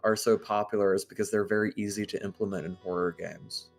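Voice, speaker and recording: Halting low-voiced man over guitar music. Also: fairly even intonation, vocal fry. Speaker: livestream participant. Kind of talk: casual chat on a livestream. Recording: music playing behind the voice.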